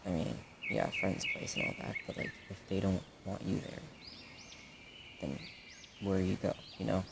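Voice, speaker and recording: A man's voice: sits low, moderate pace, creaky. A young man playing a supportive best friend in an audio roleplay, talking casually and hesitantly in rambling, trailing phrases, on a noisy recording. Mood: concerned, supportive. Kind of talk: audio roleplay addressed to the listener, casual improvised chat.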